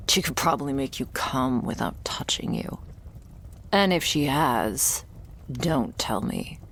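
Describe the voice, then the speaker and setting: A woman playing a guarded, sardonic young vampire's servant in an intimate ASMR roleplay, speaking softly and dryly, often near a whisper. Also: lively intonation, slow pace, heavily acted. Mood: hushed, explaining. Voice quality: low, soft voice often dropping into whisper. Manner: dry, hesitant, foul-mouthed, sighing delivery. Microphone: close ASMR microphone.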